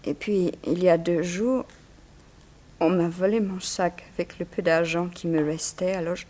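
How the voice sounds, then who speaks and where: A woman's voice: on the low side, fairly even intonation, moderate pace, dark tone, lightly acted. Actress as a frail, faint young immigrant woman. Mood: weak, plaintive. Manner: faint, hesitant, apologetic, sighing. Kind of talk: 1960s French radio serial acting.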